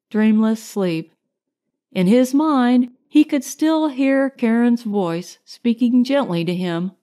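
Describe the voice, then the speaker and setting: A woman's voice: on the high side, warm tone. A woman narrating a scripted short story as an audiobook reader.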